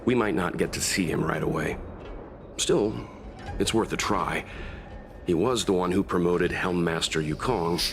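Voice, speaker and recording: A man voicing a calm, sensible, courteous man in a video game, measured and reassuring. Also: low voice, slight background noise, heavily acted. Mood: considering. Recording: game music clearly behind the voice.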